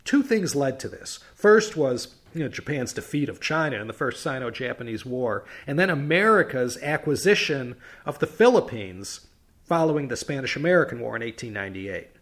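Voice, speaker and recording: A man at a middle pitch hosts a history podcast from what sounds like his home office. He tells the story casually, with chatty, wry asides and punchy stressed words. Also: expressive, moderate pace, a bit bright.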